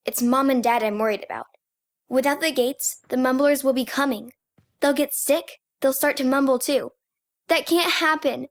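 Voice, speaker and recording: A woman's voice: high-pitched, lightly acted. A woman voicing a frightened, devout little girl in a video game, childlike and anxious.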